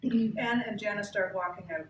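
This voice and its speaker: A woman's voice: medium pitch, fairly even intonation, moderate pace, muffled recording. Woman narrating in a very echoey room. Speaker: author and narrator. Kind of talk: live event introduction and stage directions. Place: cramped bookstore, live event. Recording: very echoey room, distant mic, clear noise.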